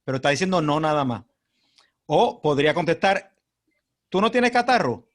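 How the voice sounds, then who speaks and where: A man's voice: fairly high pitch, very animated, moderate pace. A man with a Puerto Rican accent, a guest speaker on language usage, talking in a friendly way on a video call and acting out questions and answers. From Puerto Rico. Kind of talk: casual talk on a video call.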